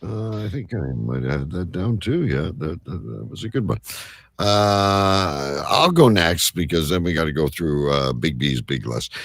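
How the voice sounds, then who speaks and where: A man's voice: low-pitched, animated, measured pace, vocal fry, fairly bright tone, dry recording. A man hosting a live show with casual, jokey banter and a rambling manner. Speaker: host of a live online show.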